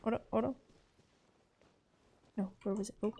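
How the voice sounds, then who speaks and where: A woman's voice: medium pitch, steady tone, moderate pace, warm tone. A woman game streamer giving casual, informal commentary, with muttered asides to herself as she plays.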